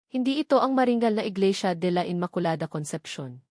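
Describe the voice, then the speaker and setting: A woman's voice: mid-range voice, animated, fast, darkish tone, no room sound, studio quality. A woman with a Filipino accent reading scripted dramatic narration as an audiobook storyteller.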